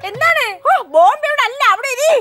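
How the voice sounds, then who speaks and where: Thanku, a very high-pitched gossipy housemaid. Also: female voice, expressive, a little fast, sharp tone, soft background music, full character voice. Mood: flustered, scolding. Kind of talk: comic gossip sketch acting on television.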